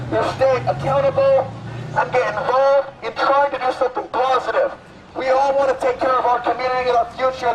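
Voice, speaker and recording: High-pitched American man preaching through a megaphone. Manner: strident, declamatory, repetitive, confrontational. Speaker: street activist. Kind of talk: impassioned street speech through a megaphone. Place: outdoors on a city street. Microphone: amplified megaphone caught by a bystander's camera. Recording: busy city street with music, traffic. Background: music, crowd, vehicles.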